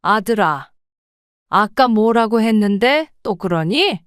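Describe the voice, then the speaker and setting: A woman's voice: medium pitch, lively intonation, slow pace, clean tone, dry recording, clean studio sound. A woman voicing a stern, proper mother in her seventies who scolds firmly in an audio drama. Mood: reproachful. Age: older. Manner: firm, reproachful scolding.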